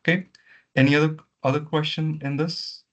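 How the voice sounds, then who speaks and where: A man giving step-by-step software training in an instructional way, often repeating his words, over an online meeting. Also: fairly high pitch, little pitch movement, normal speed. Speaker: software trainer leading a session. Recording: muffled lo-fi online meeting audio.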